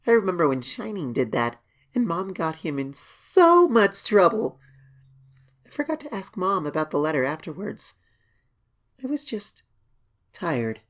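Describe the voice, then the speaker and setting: A woman voicing an anxious young pony filly, reading her diary as an expressive, hesitant horror story narration. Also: lowish voice, heavily acted. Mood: uneasy. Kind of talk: dramatic first-person horror story reading.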